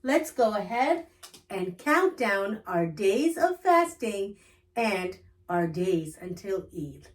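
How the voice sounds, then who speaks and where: A woman's voice: mid-range voice, sing-song, slow pace. A woman hosting a children's storytime, reading aloud as a storyteller.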